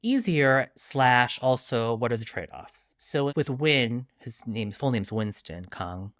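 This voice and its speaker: A man's voice: mid-range voice, expressive, slow, fairly bright tone, no echo, studio quality. A middle-aged man from Long Island, New York, speaking candidly and reflectively as a guest in an interview, often adding asides. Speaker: guest author.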